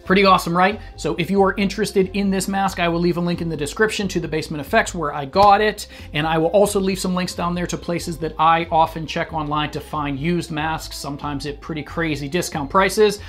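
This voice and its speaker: A man's voice: fairly high pitch, fairly even intonation, brisk, hardly any pauses, a bit bright, faint music behind. A man in his thirties, a channel host, chatting casually and explaining practical details in a small indoor studio. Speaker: channel host reviewing masks. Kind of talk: casual review talk.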